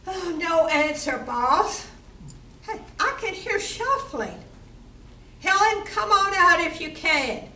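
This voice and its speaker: A saucy, bossy barmaid with a high, sharp voice, acted by a woman in an amateur play. She projects each line slowly and deliberately. It sounds roomy, picked up from a distance. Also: sing-song, exaggerated voice. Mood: urgent, bossy. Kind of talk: amateur community theatre reading. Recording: roomy, distant mic with background noise.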